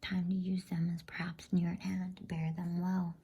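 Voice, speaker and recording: Storybook old man, read by a woman, grave yet kind, hushed airy whisper, dark tone, measured ASMR delivery. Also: on the low side, little pitch movement. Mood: solemn, kindly. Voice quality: breathy whisper.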